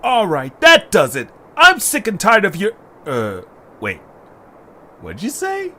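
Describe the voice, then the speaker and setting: A man's voice: sits high, wildly swooping pitch, takes it slowly, sharp tone, heavily acted. A man playing a good-natured, weary young adventurer with a casual, exasperated manner in a fan audio drama. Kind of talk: fan audio drama acting with storybook narration.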